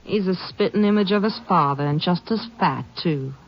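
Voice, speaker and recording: Folksy gossip, low creaky voice, drags out her thinking, old radio western. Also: normal speed. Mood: musing, gossipy. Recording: dull old radio recording.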